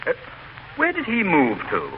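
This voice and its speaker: Pompous mayor, tinny radio. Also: male voice, high-pitched, wildly swooping pitch, measured pace, full character voice. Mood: businesslike. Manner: pompous, formal, wordy. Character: pompous, easily flustered small-town mayor. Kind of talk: 1940s radio comedy acting. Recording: tinny, hissy 1940s radio recording.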